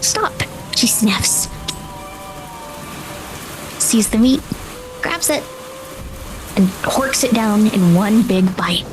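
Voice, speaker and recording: A woman's voice: medium pitch, expressive, extremely slow, pauses often, background noise. A woman working as game master, improvising dramatic, vivid narration for a tabletop roleplaying game.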